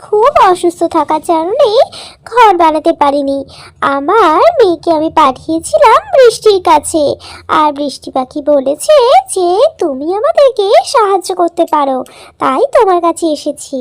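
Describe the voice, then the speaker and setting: A woman voicing a gentle, anxious, sickly mother bird in a children's cartoon. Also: very high voice, big pitch swings, normal speed, no room sound. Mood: pleading.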